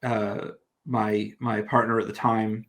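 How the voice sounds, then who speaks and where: A man's voice: lowish voice, flat delivery, slow pace. A man in his thirties from New Zealand, with an accent blending New Zealand and American, chatting as a guest with lots of self-deprecating restarts. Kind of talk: casual chat.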